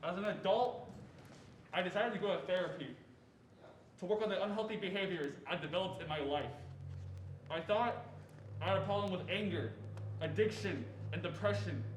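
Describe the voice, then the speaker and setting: A young man performing as an adult man who earnestly recalls his fearful boyhood in a deliberate stage reading. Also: sits high, natural voice. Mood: earnest, reflective. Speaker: student performer reading as narrator. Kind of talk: reader's theatre narration of a first-person memoir. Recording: echoey room with faint noise.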